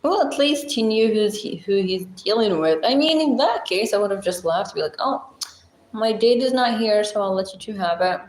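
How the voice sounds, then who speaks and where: A Moroccan woman with a Moroccan accent, hosting a casual livestream with a blunt, chatty, deep-voiced way of talking and frequent laughs. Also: animated, moderate pace, echoey room, low-quality recording. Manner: chatty, blunt, laughs often, thinks aloud. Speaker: livestream host. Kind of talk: casual livestream chat reading comments.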